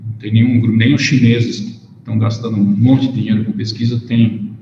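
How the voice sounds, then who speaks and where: A man's voice: on the low side, flat delivery, fairly quick. A Brazilian man, a native Portuguese speaker, lecturing informally as a researcher with a hesitant, stop-and-start delivery. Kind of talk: informal lecture with questions and answers, switching between Portuguese and English. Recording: echoey hall, dull sound, faint noise.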